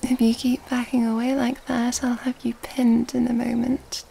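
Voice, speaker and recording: A woman playing an elegant, seductive female vampire in an ASMR roleplay, soft-spoken and breathy, often whispering in a genteel, flirtatious way. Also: on the high side, level tone, moderate pace, slight background noise. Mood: predatory, soothing. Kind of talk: ASMR roleplay, intimate scripted monologue. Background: background music.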